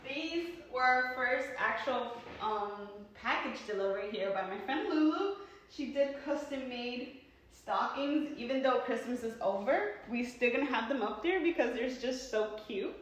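A woman's voice: fairly high pitch, animated, unhurried, distant mic. Chatty woman in echoey empty rooms. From New York. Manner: chatty, rambling with many ums and likes. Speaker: vlogger giving a home tour. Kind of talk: casual walking house tour. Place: indoors, sparsely furnished new house. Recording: echoey bare rooms, voice off-mic.

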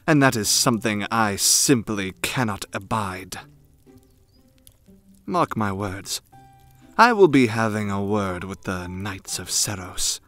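A man playing a frightening, coldly devoted young noble retainer in an ASMR fan roleplay, speaking formally with measured, haughty menace. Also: on the low side, fairly bright tone.

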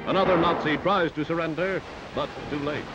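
Male newsreel announcer, American, 1940s style. Emphatic scripted read over loud music, lo-fi vintage film sound. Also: a little high, a little fast. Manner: dramatic, emphatic delivery. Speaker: newsreel narrator.

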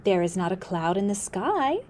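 A woman's voice: mid-range voice, sing-song, normal speed, darkish tone, slight background noise, close to an everyday voice. A woman voicing a doubtful female otter who speaks earnestly with rising tone.

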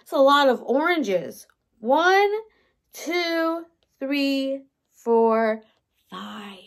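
A woman in her thirties, a teacher reading a picture book aloud to young children in an animated way, with questions for them. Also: high-pitched, very slow. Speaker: early learning teacher. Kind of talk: children's story time read-aloud. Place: indoors by a large window in a room at home.

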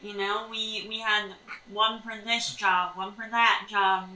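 A woman's voice: medium pitch, level tone, takes it slowly, bright, forceful tone. An American woman in her thirties co-hosting a homesteading vlog, chatting through tips to camera. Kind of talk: explanatory chat to camera with tips. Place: indoors at a table in a small sunlit room by windows. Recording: echoey room, distant mic, faint noise.

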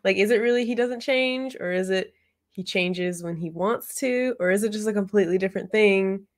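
A woman's voice: medium pitch, moderate pace. A woman speculating casually in a book discussion, tentative, musing through a string of questions. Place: room at home, video call.

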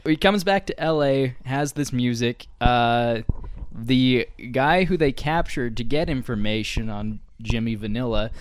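A man's voice: mid-range voice, animated, clean tone, dry recording. A young man on a couch recapping a movie plot for his co-hosts, speaking into a handheld mic. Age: young adult. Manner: brisk storytelling recap. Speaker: movie review co-host. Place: living room, on a couch.

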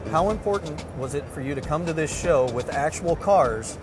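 A man's voice: fairly high pitch, animated, moderate pace, background noise. A man hosting a motorsport TV show, giving a presenter-style intro and asking interview questions at a trade show. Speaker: TV show host. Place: indoor trade show hall. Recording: music bed under voice.

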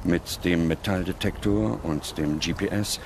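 A man reads scripted documentary narration in a measured, serious manner. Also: low-pitched, background noise. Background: music.

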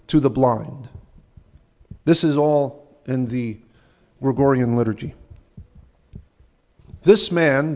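A young man with a slightly high voice preaches from a lectern in a large, ornate church. His delivery is earnest and measured, with rhetorical questions along the way. He speaks into a lectern microphone. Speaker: subdeacon preaching. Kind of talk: sermon.

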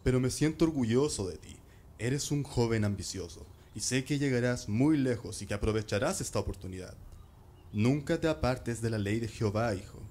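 A man's voice: medium pitch, animated, normal speed, a little mellow, close to an everyday voice. Man acting as a loving, solemn father. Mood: proud, earnest. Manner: earnest, tender. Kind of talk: radio drama acting.

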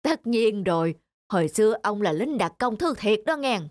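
A man playing a genial retired old man, calm, folksy and good-humoured, in an animated story. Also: sits high, moderate pace, no echo, clean studio sound. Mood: proud, playful. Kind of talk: animated moral story dialogue.

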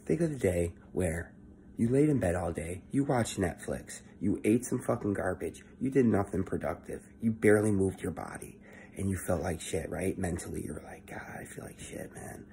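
A young man giving a casual motivational monologue into his phone at home, keeping his voice low and swearing freely. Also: expressive, moderate pace, gravelly, warm tone, a little hiss or hum. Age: young adult. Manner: talking low and swearing often, earnest. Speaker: vlogger. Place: indoor room at home. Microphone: phone held close at arm's length.

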